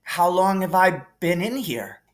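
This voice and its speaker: Man voicing high-pitched, earnest young schoolboy. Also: very animated, measured pace. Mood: insistent. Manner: urgent, questioning. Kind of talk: audiobook character reading.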